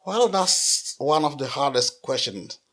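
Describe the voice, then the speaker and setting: A man's voice: medium pitch, animated, a little slow, a bit bright. A middle-aged man from the Democratic Republic of the Congo, a pastor and nonprofit founder, earnestly telling his life story as an interview guest and restarting his words often. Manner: earnest, repeats words when restarting, laughs easily.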